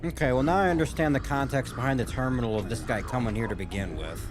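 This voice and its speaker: A man's voice: mid-range voice, quick pace. A man giving casual reaction commentary with some swearing. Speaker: reaction video host. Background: game cutscene music.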